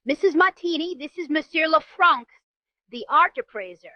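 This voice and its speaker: Woman as a gracious wealthy hostess, radio play, high voice, polite, effusive, dry muffled recording. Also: animated, normal speed, no echo. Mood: gracious, delighted. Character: gracious, genteel wealthy hostess, easily shocked. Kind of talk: old-time radio drama acting.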